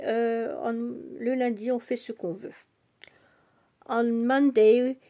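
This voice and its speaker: An English-born woman talking casually in a vlog, speaking slowly and going back and forth between English and French. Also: on the high side. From England. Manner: soft, unhurried, switches between English and French. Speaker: doll collector vlogger. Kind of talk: casual bilingual vlog chat.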